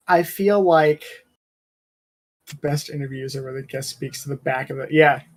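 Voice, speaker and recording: A man's voice: medium pitch, unhurried. A man in a casual chat, speaking in a hesitant way with phrases that trail off.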